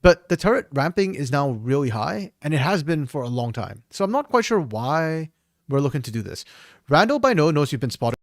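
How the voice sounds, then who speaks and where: A man with a slightly hoarse voice, reviewing a match on his own in a casual, think-aloud way with frequent restarts. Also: on the high side, very animated, brisk, dry recording, studio quality. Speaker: esports caster doing a solo VOD review. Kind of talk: casual solo game analysis commentary.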